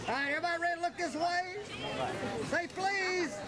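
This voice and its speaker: A man's voice: very high voice, fairly quick, a crowd in the background. A man taking family photos in an old home video, calling on a group to look his way.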